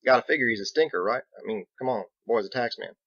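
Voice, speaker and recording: A man's voice: medium pitch, animated, fast, fairly bright tone, no room sound, muffled recording. A man voicing a folksy, blunt young small-town sheriff with a drawl in a storybook reading. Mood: skeptical.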